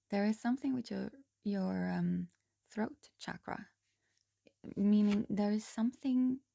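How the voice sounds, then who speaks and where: Slow, soft-spoken hoarse woman reader. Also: medium pitch, no echo, clean studio sound. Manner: slow, halting, soft-spoken with frequent ums and restarts. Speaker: tarot reader. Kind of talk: casual spiritual tarot reading.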